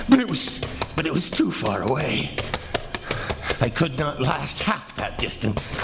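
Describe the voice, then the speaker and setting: Man acting a slow, commanding radio adventure hero. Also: mid-range voice, vocal fry, background noise. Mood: agonized, desperate. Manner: declamatory, dramatic, deliberate pauses. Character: bold, defiant male plantation owner, commanding and driven. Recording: loud dramatic music behind the voice. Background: loud music.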